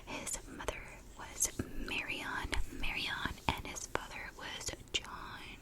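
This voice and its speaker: A woman speaking in a soft, breathy whisper, slowly and deliberately telling a story in ASMR style, sometimes softly repeating words. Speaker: ASMR YouTuber, host.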